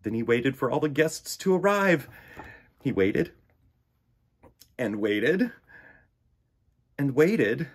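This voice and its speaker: A man reading a children's picture book aloud in an expressive, dramatic way, with swells and hushes. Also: medium pitch, takes it slowly, pauses often, breathy edge. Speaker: children's storybook reader. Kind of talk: animated read-aloud narration of a picture book.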